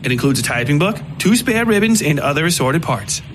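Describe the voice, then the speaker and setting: A man's voice: on the high side, big pitch swings, fairly quick, background noise, pitched above the performer's own voice. Man as a polite young salesman, formal patter. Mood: businesslike.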